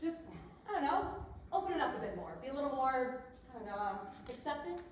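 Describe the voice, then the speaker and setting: A woman's voice: sits high, expressive, fairly quick. A woman playing a chatty, pushy but tactful image consultant in a stage comedy, conversational and persuasive with hesitant asides. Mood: coaxing. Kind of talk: theatrical stage comedy acting. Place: indoor theater stage. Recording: echoey theater, distant mic, faint noise.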